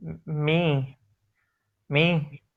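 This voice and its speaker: A man's voice: on the high side, little pitch movement, very slow, vocal fry. A man from India, joining a casual debate in a voice chat. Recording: dull lo-fi voice chat audio.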